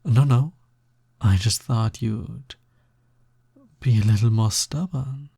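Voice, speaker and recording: A man playing a teasing, smug, touch-starved naga roommate in an intimate audio roleplay, coaxing in a soft, drawling, breathy voice. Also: medium pitch, takes it slowly, dry recording, studio quality, full character voice. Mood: bargaining, smug. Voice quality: breathy, soft, slightly gravelly.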